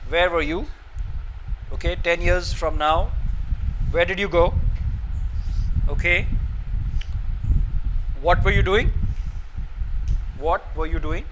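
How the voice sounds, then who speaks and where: A man's voice: high voice, fairly even intonation, slow pace, pauses often, a bit bright, slight background noise, low-quality recording. A man with an Indian accent giving a motivational session to students in a classroom. He repeats each instruction and keeps checking in with "okay?". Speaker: motivational speaker.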